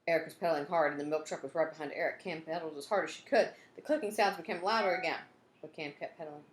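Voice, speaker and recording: A woman reading a children's storybook aloud in an expressive way, acting out the story and adding asides like a teacher. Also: sits low, fast. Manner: expressive, acts out dialogue and sound effects. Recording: roomy echo, some background noise.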